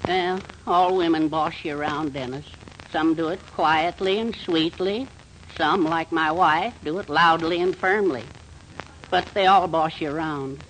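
An actor playing a meek, henpecked middle-aged husband in 1940s radio comedy, mild, hesitant and wistful. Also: male voice, a little high, a little slow, fairly bright tone. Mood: wistful, resigned. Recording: faintly noisy 1940s radio recording.

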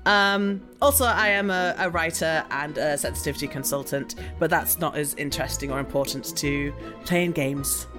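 A woman's voice: deep voice, normal speed. Woman chatting casually over faint music. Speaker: player, voice actor and show host. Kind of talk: casual self-introduction at a tabletop game.

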